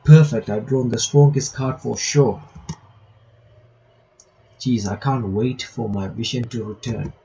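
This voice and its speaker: A man's voice: medium pitch, fairly even intonation, a little slow, gravelly, long pauses, mellow, lo-fi sound, slight background noise. A man reading visual novel text aloud with casual commentary, breaking off to remark and reread lines. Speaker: gaming reaction video maker.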